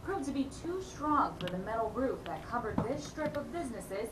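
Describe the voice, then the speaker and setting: A woman's voice: on the high side, very animated, moderate pace. A woman narrating a scripted TV news package as a reporter. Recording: echoey, distant, noisy playback.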